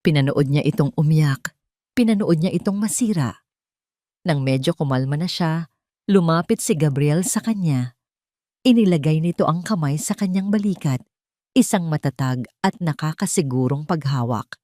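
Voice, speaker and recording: A woman's voice: sits low, expressive, moderate pace, warm tone, no echo, clean studio sound. A woman narrating a scripted romantic drama as a storyteller, in a dramatic style.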